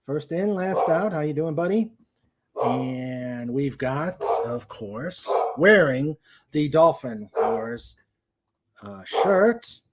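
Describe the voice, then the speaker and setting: A man hosting a casual livestream chat, speaking haltingly as he searches for his words. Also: fairly high pitch, lively intonation, very noisy. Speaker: host. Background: dog noises and clicks.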